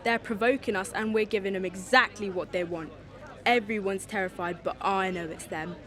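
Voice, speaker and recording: A woman's voice: medium pitch, fairly even intonation, normal speed, a bit bright, lightly acted. A young woman playing a suspicious, defiant conspiracy believer in a street interview drama. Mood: defiant, paranoid. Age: young adult. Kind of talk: vox pop acting in youth drama.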